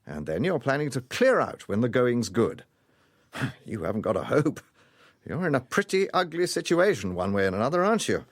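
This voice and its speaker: An urbane gentleman detective in a British radio drama. His mid-pitched voice has a husky grain and a very mobile melody. He probes courteously, with dry amusement and cool control. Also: very animated, normal speed, dry recording. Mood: cool, confrontational. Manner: courteous, probing, dryly amused. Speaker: lead actor as detective.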